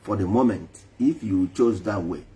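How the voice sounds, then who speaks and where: A man's voice: on the low side, normal speed, mellow. A man preaching emphatically to a live online audience, repeating his points for force. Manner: emphatic, repetitive, switching between English and Igbo. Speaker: traditional spiritual speaker.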